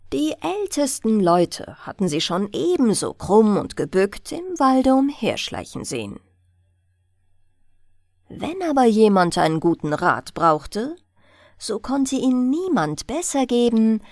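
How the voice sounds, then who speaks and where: A woman narrates a bedtime fairy tale as a scripted audiobook. Her delivery is slow and very melodic, with a slightly creaky edge. She tells it deliberately and expressively. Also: medium pitch, a little mellow, no room sound, clean studio sound.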